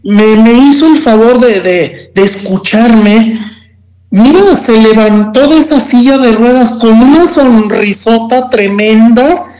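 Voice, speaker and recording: A Mexican man shares a personal testimony at a very unhurried pace. He is reflective and comes back to key phrases, repeating them for emphasis. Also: very high-pitched, a little mellow, low-quality recording. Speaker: guest telling a personal testimony.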